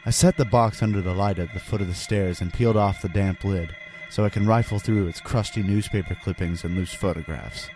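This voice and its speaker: A man's voice: sits low, steady tone, a little fast, hardly any pauses, darkish tone, close to an everyday voice, rough recording. A man playing a haunted husband and father, narrating a first-person horror story in an ominous, earnest and theatrical manner. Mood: hushed dread. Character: haunted husband and father confessing a terrifying ordeal. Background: background music.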